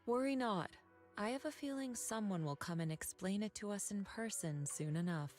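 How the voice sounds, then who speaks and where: A composed, wise woman master from a video game, played by an actress, speaking assuredly in a mid-range voice over soft music. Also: mid-range voice, moderate pace, lightly acted. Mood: calm, assured. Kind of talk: scripted game dialogue.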